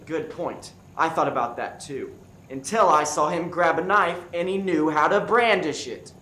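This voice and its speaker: A man's voice: on the high side, bright, forceful tone. A man playing an earnest, dramatic FBI agent solving a murder in a comic radio play, recorded in an echoey room with background noise. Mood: accusing. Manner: deliberate, dramatic deducing. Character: one-eyed male FBI agent turned earnest, dramatic sleuth. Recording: roomy, echoey stage with clear background noise.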